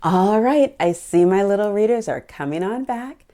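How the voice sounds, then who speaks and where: A woman's voice: medium pitch, lively intonation, moderate pace, noisy background. A woman hosting a picture book storytime for young children, speaking in an encouraging, exclamatory way and putting questions to her little listeners.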